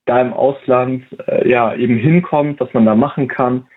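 A young man in his twenties answers interview questions in a relaxed but halting way, pausing often and restarting his sentences. His voice sits at an average pitch. It comes through a narrow, phone-like line that sounds tinny. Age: 20s. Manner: hesitant, many fillers and restarts. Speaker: interview guest, volunteer at a museum.

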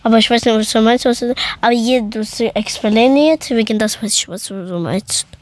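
A woman chatting casually in halting speech, slipping English words into her sentences. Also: fairly high pitch, darkish tone. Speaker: conversation partner. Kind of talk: casual chat in learner German.

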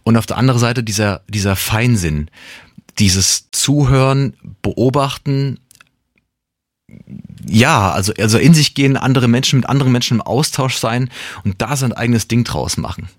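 A man's voice: mid-range voice, moderate pace, no echo. Reflective man with a dry, close sound. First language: German. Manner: reflective, rambling with restarts and laughs. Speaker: singer-songwriter guest. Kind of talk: casual interview talk.

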